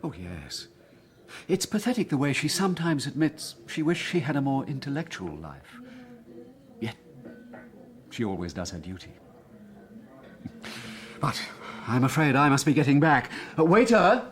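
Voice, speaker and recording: A man's voice: a little high, wildly swooping pitch, unhurried, pauses often. A man playing an urbane, gossiping actor in a period radio drama, wry and confiding.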